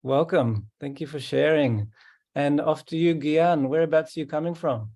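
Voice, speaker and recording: A man hosting an online meeting, welcoming each participant calmly and often repeating his words. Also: fairly high pitch, moderate pace. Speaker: host. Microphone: video call.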